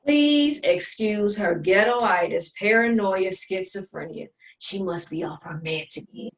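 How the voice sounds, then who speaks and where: An actress playing a haughty, condescending sister in a play reading, scolding with sarcastic, sugary endearments and careful enunciation. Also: female voice, medium pitch, lively intonation, measured pace, far from the mic. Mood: dry sarcasm. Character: haughty, sharp-tongued older twin sister, polished and condescending.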